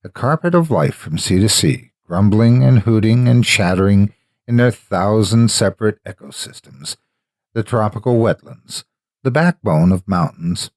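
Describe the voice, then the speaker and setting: Male horror narrator, low register, dry studio-tight sound, emphatic and theatrical storytelling. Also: darkish tone, no echo. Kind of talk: scripted dramatic narration.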